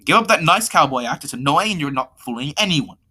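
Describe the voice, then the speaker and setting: Reader as a bossy, bright-voiced, impatient schoolboy. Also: medium pitch, expressive, fast, husky. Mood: irritated.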